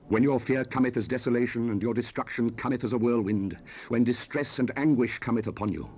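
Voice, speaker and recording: A man's voice: on the low side, a little mellow, close to an everyday voice. An actor playing a stern fatherly biblical sage, declaiming scripture solemnly in an archaic style for a dramatized audio Bible. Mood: stern, impassioned. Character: stern fatherly biblical sage, exhorting and warning his son. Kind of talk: dramatized King James scripture reading, 1970s audio production. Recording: noisy raw cassette rip.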